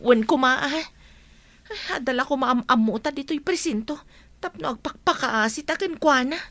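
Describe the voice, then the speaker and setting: A woman's voice: high voice, normal speed, fairly bright tone, no room sound. A woman playing a determined, loyal young friend in a radio drama.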